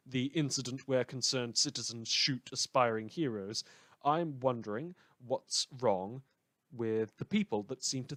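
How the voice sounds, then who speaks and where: A man's voice: mid-range voice, a bit bright, no echo, clean studio sound, natural voice. A man playing a chatty, morally muddled radio host in a fictional superhero world, with sarcastic asides and rhetorical questions. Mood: puzzled, wry. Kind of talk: fictional radio talk show monologue.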